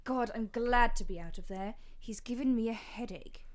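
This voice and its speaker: A woman's voice: a little high, moderate pace, lightly acted. A woman playing a sardonic young betrothed noblewoman in an amateur audio drama, dry and exasperated. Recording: noisy hobbyist recording, busy ambience.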